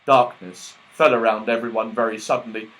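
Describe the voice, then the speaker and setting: A man's voice: fairly low pitch, fairly even intonation, moderate pace, a bit bright, rough recording. A man narrating a children's story expressively, as an audiobook reader.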